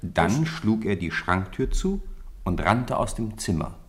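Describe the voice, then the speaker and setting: Deep voiced guy narrating a story for a radio play, scripted reading. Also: very animated, a little slow, breathy edge.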